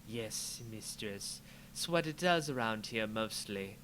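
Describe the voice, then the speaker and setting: A forlorn, misshapen servant from a fantasy tale, acted out by a man, bows to every wish. The words come out hesitant and drawn-out, with plenty of rise and fall, over faint background noise. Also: lowish voice, animated, a bit bright, poor audio. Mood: forlorn, servile. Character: hunched, bulging-eyed misshapen servant, forlorn and servile.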